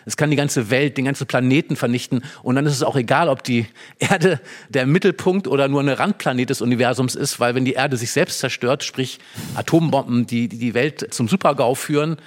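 A man's voice: medium pitch, fairly quick. A man discussing a play in an emphatic, explanatory, analytical way. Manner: explanatory, emphatic, acts out short quotes. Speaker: literary discussion speaker.

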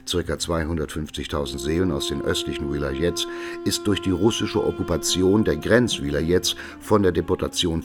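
Casting: scholarly male expert in the witness box, deep voice, deliberate and formal radio play lecturing, music clearly behind. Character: learned male expert witness giving sober testimony. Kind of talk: radio drama courtroom statement.

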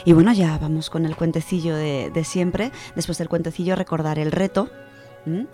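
Chatty, low-voiced woman on radio. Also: normal speed, music in the background. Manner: chatty, animated, laughs often, many fillers. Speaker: radio co-host presenting a literature section. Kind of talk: casual radio chat and story reading.

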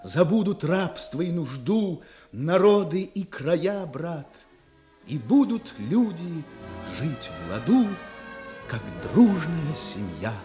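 A man's voice: a little high, big pitch swings, slow, dark tone. An actor playing a fervently hopeful male poet, reciting lyrical verse over guitar in a 1960s radio play. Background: plucked guitar music.

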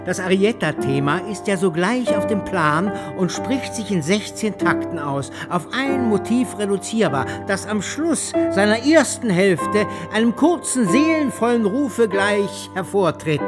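Slow, fervent music lecturer over piano. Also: male voice, sits high. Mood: explanatory, savoring. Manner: declamatory, ecstatic, breaking into singing and shouting. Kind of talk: dramatised lecture in a radio play. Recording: piano music loud under the voice. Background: piano playing.

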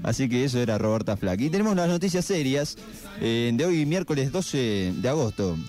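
A man with an Argentine accent hosts a radio show in a casual, conversational way. His voice is mellow and of medium pitch, with faint music behind. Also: sing-song, moderate pace. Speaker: radio host. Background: soft guitar music.

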